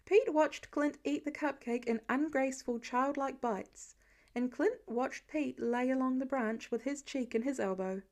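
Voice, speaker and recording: A woman reading a story aloud as an expressive audiobook-style narrator of fan fiction. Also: high-pitched, measured pace.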